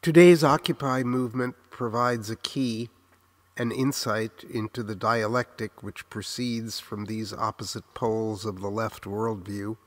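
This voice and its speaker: Memoir narrator: American male, 60s, slightly deep, takes his time, earnest scripted reflection with a literary, measured delivery. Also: fairly even intonation. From Los Angeles, United States.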